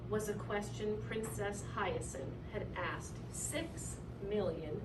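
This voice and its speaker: A woman reading a children's picture book aloud in an animated, deliberate way with dramatic emphasis. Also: medium pitch. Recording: echoey room, distant mic, clear noise.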